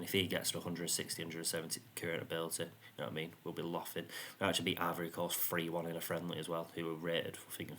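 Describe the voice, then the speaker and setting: Monotone low-voiced man. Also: a little hiss or hum. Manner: rambling, frequent ums and "you know what I mean". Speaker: gaming YouTuber. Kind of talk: casual game commentary.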